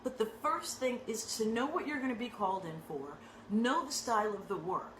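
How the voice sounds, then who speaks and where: A woman acting coach giving direct, conversational tips to actors, often checking in with a quick "right?". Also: on the high side, a little hiss or hum. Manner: conversational, frequent rhetorical "right?" tags; animated and emphatic. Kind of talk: direct teaching talk to actors. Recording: echoey room with faint ambience.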